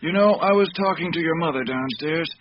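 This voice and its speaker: Actor as a polite investigator, fairly high male voice, measured, inquisitive, patient, radio drama, muffled recording. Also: lightly acted. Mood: gently persistent.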